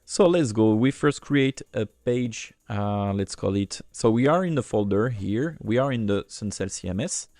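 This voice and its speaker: Low gravelly man. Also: lively intonation, moderate pace, few pauses, darkish tone, no echo, clean studio sound. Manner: hesitant, many fillers and restarts. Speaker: software demo presenter. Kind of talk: screen-recorded software tutorial.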